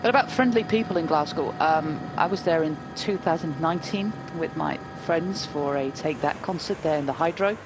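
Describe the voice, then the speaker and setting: A woman's voice: low voice, normal speed, few pauses. Chatty woman commentator over race noise. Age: 40s. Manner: chatty, anecdotal, frequent ums. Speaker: cycling commentator. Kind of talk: live race commentary chat.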